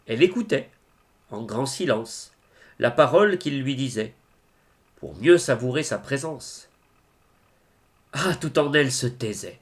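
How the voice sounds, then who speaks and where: A man reciting religious poetry in a solemn, declamatory way, phrase by phrase with deliberate pauses. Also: medium pitch, lively intonation. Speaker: reader of devotional poetry.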